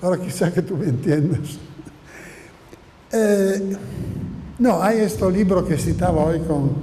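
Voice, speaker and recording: An older man, a native Italian speaker with an Italian accent, giving a halting, improvised academic lecture, often searching for words and repeating phrases. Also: sits high, dark tone. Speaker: seminar lecturer. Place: seminar room or hall, indoors. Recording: echoey reverberant hall with room noise.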